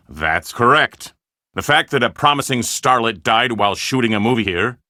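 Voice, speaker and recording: Scheming movie producer for a video game: self-important, formal, emphatic, with a bright, forceful voice and sweeping intonation. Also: male voice, medium pitch, no echo, studio quality. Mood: grandly persuasive. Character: scheming, self-important male film producer desperate for publicity.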